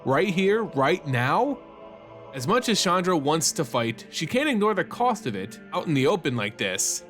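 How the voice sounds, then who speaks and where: A man's voice: on the high side, sing-song, normal speed, a bit bright, faint music behind. A man hosting a fantasy lore channel, reading a story as dramatic scripted narration.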